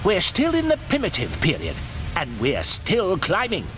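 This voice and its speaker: A man voicing an excitable, proud elderly professor in an audiobook, emphatic and pompous in manner. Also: high-pitched, normal speed, a little hiss or hum, voice pushed up, exaggerated voice. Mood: scholarly, assured.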